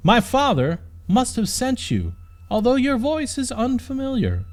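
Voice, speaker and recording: A man's voice: extremely high pitch, wildly swooping pitch, a little slow, voice pushed up, heavily acted. A man voicing a young princess in an audiobook, haughty and easily annoyed, speaking in prim, formal phrases. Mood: proper, questioning.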